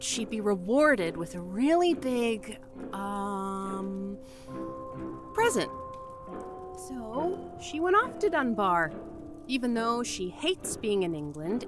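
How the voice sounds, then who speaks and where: A frightened investigator in a horror audio drama, telling a story in a halting, anxious way. Her voice sits fairly high and swings up and down at an unhurried pace, with music playing clearly behind it. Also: a bit bright, heavily acted. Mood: wry, tense storytelling. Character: terrified American woman investigator stammering out a story.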